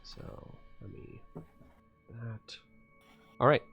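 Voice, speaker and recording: A man running a livestreamed tabletop game as game master, narrating casually and haltingly, often repeating his phrases. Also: loud music behind the voice.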